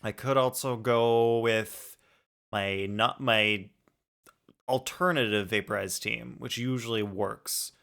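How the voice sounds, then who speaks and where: A man's voice: fairly low pitch, steady tone, takes it slowly, creaky, fairly bright tone. A man chatting casually on a game livestream, informally thinking aloud as he plays. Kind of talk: casual livestream gaming chat. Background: music.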